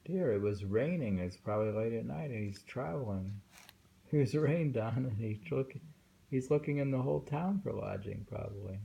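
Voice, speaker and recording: A man reading poetry aloud informally and commenting on it in a halting, musing way, pausing often. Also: mid-range voice, expressive, creaky, dark tone, breathy edge, dull audio. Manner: halting, musing, with frequent pauses. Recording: faint background noise, home recording.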